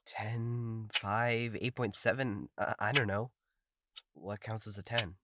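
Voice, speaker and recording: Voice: medium pitch, steady tone, takes it slowly, very noisy. An actor playing an anxious, sullen agender psychiatric patient in an audio drama, speaking in a hesitant, guarded way.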